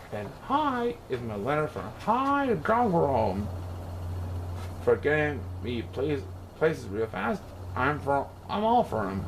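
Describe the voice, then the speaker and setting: A man reading a rhyming children's story aloud in an expressive, playful, sing-song way. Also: a little high, vocal fry, a little hiss or hum, poor audio. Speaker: picture book reader.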